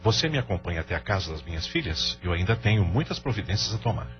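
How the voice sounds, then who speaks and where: A man's voice: sits low, big pitch swings, fast talker. A Brazilian man playing a distraught, guilt-ridden middle-aged father with a double life in an audio novela. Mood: distraught, pleading. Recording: dull lo-fi audio with music bed.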